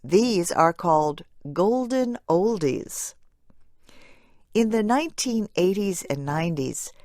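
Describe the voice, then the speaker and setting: A woman narrating a scripted English lesson in a measured, clearly phrased way for learners. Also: mid-range voice, a little mellow, dry recording, studio quality.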